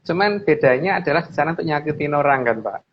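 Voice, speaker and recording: A man's voice: a little high, expressive, fast talker. A middle-aged man talks through his views in a casual discussion. He circles back over phrases and checks for agreement, on a slightly dull recording with some background noise. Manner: repeats phrases while explaining, adds frequent tags seeking agreement. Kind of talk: casual discussion, explaining opinions.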